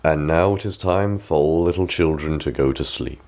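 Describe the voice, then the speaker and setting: A man's voice: a bass voice, normal speed, a little mellow, dull audio. A man delivering a scripted bedtime announcement to children. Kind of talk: slow scripted sign-off to children.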